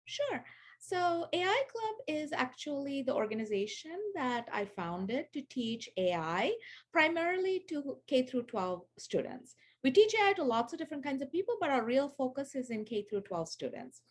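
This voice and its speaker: Woman, 40s, from Sri Lanka; easygoing interview guest, somewhat high voice, filler-heavy. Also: sing-song, normal speed. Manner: chatty, many fillers and restarts. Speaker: guest, AI education founder. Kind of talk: casual interview over video call.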